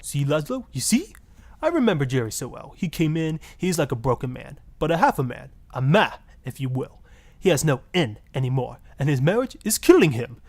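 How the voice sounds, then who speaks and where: Radio comedy guest: preachy, pompous Latin-accented marriage guru, swooping pitch, easily offended. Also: male voice, very animated, moderate pace, darkish tone. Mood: earnest, dramatic. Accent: put-on Latin. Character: flamboyant self-righteous fake-Latin marriage guru and pimp. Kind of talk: parody radio talk-show guest.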